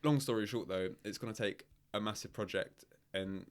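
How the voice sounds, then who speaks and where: A man with a low voice hosts a football chat. He is chatty and runs through the questions at an easy pace. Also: normal speed, clean tone. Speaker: podcast host. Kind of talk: casual sports chat.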